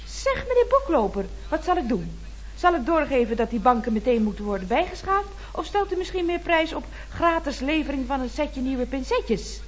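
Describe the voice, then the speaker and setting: Lively, cheeky secretary. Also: female voice, high voice, normal speed, muffled recording. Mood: teasing, mock concern. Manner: mock-polite, playfully teasing. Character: chatty, cheeky female office secretary at a building firm. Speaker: actor in a radio comedy serial. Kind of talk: 1970s Dutch radio comedy acting. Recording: noisy, dated radio recording.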